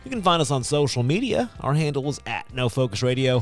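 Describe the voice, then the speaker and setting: An American man hosting a comedic podcast in a casual, joking and theatrically expressive way. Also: mid-range voice, quick pace, faint music behind. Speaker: podcast host.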